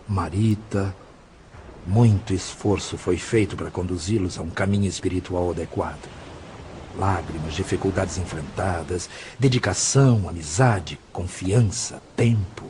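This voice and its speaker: A low male voice, solemn and deliberate, portrays an actor's version of a grave, compassionate spirit mentor. It is eloquent Brazilian audio drama speech, with some noise and ambience behind it. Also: darkish tone, natural voice. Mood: grave, sorrowful. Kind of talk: scripted audio drama monologue.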